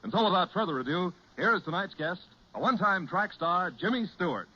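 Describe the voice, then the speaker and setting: A man's voice: high voice, lively intonation, brisk. An American man announcing on a 1940s radio show with polished, emphatic salesmanship. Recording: muffled, noisy 1940s radio broadcast.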